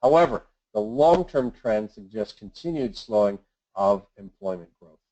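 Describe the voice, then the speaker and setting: A man reads scripted market commentary as an investment adviser on a webinar, in a measured, formal, businesslike manner. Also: on the low side, animated, warm tone. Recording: dull lo-fi conference call audio.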